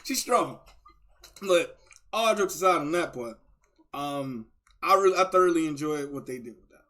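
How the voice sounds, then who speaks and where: A man streaming casual reaction chat, talking in a rambling, slangy, excitable fan style. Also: a little high, moderate pace. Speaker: streamer reacting to game voice lines.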